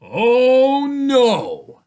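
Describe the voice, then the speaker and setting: A woman storyteller voicing an exasperated father who scolds in loud, emphatic, drawn-out words. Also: low voice, fairly even intonation, breathy edge. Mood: dismayed scolding.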